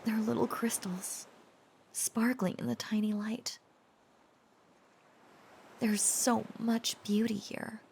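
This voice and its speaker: A woman playing a tender, protective young dragon-descended girlfriend in an intimate romantic audio roleplay, speaking gently and hesitantly in a breathy, often whispered voice. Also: fairly high pitch, level tone, takes it slowly, pauses often, a little hiss or hum. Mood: soft wonder. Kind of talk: intimate roleplay audio drama, softly acted.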